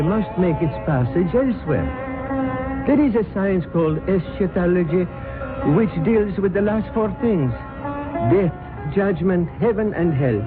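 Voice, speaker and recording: Casting: solemn intoning guru preacher, high-pitched, old radio play, tinny line with music. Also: male voice. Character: solemn male temple guru lecturing on souls. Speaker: cult leader preacher. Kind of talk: 1970s radio drama acting.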